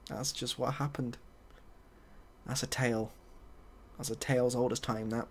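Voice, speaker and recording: A soft-spoken man improvising a story in a hesitant, dry, rambling and self-deprecating way. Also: mid-range voice, steady tone, moderate pace, slight background noise. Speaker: ASMR video host.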